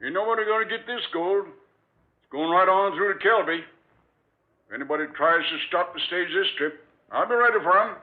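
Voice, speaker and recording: An actor in a 1940s-style radio drama playing a bold, hearty male stagecoach driver from the Old West, calling out loudly. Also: high-pitched, a little fast, lightly acted. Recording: tinny, lightly noisy vintage radio recording.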